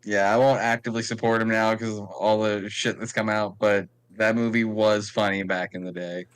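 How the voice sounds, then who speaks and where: A man's voice: fairly low pitch, level tone, moderate pace, clean tone. A man chatting casually and playfully as a podcast co-host, with some swearing. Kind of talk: casual, joking chat.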